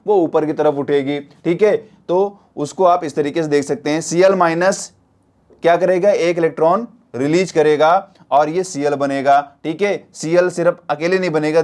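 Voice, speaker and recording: Male teacher, slightly high voice. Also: darkish tone. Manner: didactic, repeats points with frequent check questions. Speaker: science teacher for exam preparation. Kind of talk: spoken classroom lesson.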